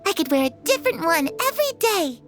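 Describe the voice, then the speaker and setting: A woman voicing the gentle, wistful and curious shadow of a young girl in a video game. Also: very high voice, very animated, fast, heavily acted. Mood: wistful, playful.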